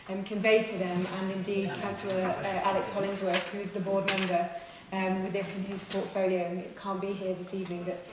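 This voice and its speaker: A woman replies at a council meeting in a very echoey room, heard some way from the mic. She speaks politely in a halting, semi-formal way, at an average pitch. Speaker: councillor answering a public question. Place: large echoing council chamber. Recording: very echoey room, distant mic, faint noise.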